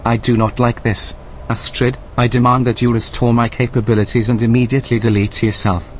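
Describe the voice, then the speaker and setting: A stiff and officious male AI overseer, acted by a man, talks in measured, formal phrases with careful wording. His voice sits at a middling pitch in a scripted audio drama, with faint background noise behind it. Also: little pitch movement, lightly acted. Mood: stern warning.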